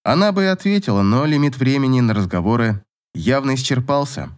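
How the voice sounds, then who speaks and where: A man's voice: mid-range voice, animated, a little fast. A man reading scripted narration from a romance novel as an audiobook narrator.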